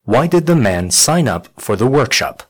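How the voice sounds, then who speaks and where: Guy reading listening test instructions, kinda husky, calm and formal. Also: medium pitch, moderate pace. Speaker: test announcer. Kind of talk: scripted exam instructions.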